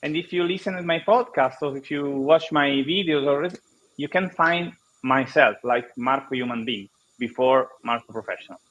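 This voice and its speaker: A man from Italy with an Italian accent, a guest explaining ideas in halting English with many restarts, over a video call. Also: mid-range voice, a bit bright, highs cut off. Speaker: guest speaker. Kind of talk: online conference interview.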